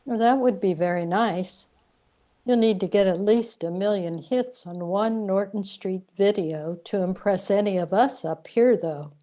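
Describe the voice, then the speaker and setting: Woman acting a mellow, matter-of-fact cosmic guide. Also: medium pitch, measured pace, lightly acted. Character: matter-of-fact female afterlife guide, calmly explaining cosmic trickery. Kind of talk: amateur sci-fi audio comedy acting.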